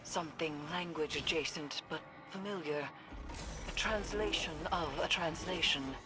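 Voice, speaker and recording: A woman's voice: low voice, measured pace, music in the background. A woman playing a serious, watchful sci-fi mission guide in a video game, speaking precisely and measuredly.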